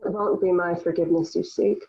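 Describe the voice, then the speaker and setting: A woman's voice: fairly low pitch, steady tone, a little fast, gravelly, dark tone. Woman acting devout young warrior girl facing death, earnest stage-play speeches, muffled lo-fi audio. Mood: defiant. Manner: earnest, declamatory. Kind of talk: dramatic table read of a historical play.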